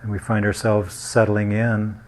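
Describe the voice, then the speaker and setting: A man guiding a meditation in a gentle, unhurried manner, leaving long pauses between short phrases. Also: on the low side, monotone. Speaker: meditation guide. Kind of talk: guided meditation.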